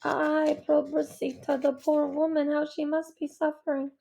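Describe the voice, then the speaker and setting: A tender middle-aged mother, speaking softly through tears, as a woman reading the story puts her on. Her voice sits a little higher than plain speech and has a mellow, gentle tone. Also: level tone, normal speed, gravelly. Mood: grieving tender. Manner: tender, tearful, Spanish endearments. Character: warm Cuban mother, middle-aged, emotional.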